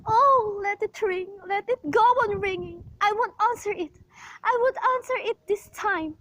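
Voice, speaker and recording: Actress as demanding, nervy invalid woman for a radio thriller; very high voice, imperious, agitated, repeats herself in exasperation. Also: moderate pace, a bit bright, no echo, poor audio. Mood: fearful, tearful. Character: nervous, demanding, bedridden invalid woman, panicky and irate. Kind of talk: amateur radio play suspense acting.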